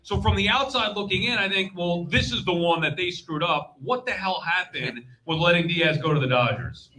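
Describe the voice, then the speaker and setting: A man's voice: high voice, moderate pace, bright, forceful tone, rough recording. A man hosting a live sports panel, impassioned, exasperated and emphatic. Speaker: sports show host. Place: live convention panel stage.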